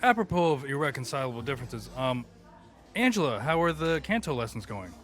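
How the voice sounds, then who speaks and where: An actor playing an earnest, mild-mannered young peacekeeper, polite and tactful, in a comedy audio drama. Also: male voice, medium pitch, sing-song, fairly quick, fairly bright tone, soft background music.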